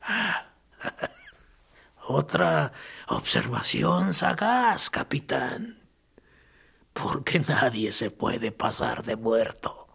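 Radio play: a man voicing a broken prisoner, raspy whisper, slow and gravelly, taunting his captor. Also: mid-range voice, wildly swooping pitch, sharp tone, full character voice. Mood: mocking. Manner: hoarse whispering, sarcastic and morbid. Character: tortured male prisoner, defiant and mocking. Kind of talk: radio drama acting.

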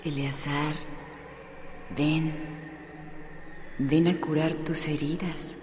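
A woman's voice: sits low, flat delivery, drawn-out words, darkish tone. An actress playing a composed, insistent woman caring for a wounded man in a radio drama. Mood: firm coaxing. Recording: echoey reverberant hall, faint noise.

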